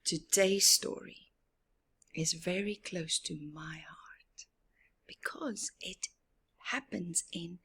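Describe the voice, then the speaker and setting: A woman's voice: lowish voice, steady tone, slow, fairly bright tone, breathy edge. A woman from Africa hosting a children's storytime, reading aloud in a teacherly, emphatic way with questions and explanations. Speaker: children's storytime host and reader.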